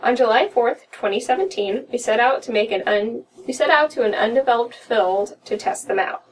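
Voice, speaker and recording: A woman's voice: on the high side, lively intonation, normal speed. A young American woman co-hosting a podcast, reading a story aloud in a room at home. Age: young adult. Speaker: podcast co-host reading horror stories. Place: small room at home, indoors. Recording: distant mic with room noise.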